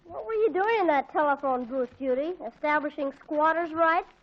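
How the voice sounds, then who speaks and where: A woman's voice: high voice, normal speed, close to an everyday voice. An actress playing a sarcastic, teasing teenage girl in a 1940s radio comedy, on a dull lo-fi old recording. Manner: sardonic, teasing. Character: sarcastic teenage girl friend, dry wit.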